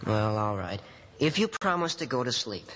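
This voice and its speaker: Lively older brother, reverberant radio. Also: male voice, medium pitch, normal speed. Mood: reluctant, firm. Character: practical, reassuring older brother, young man. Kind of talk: old-time radio drama acting. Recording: vintage radio recording with long reverb.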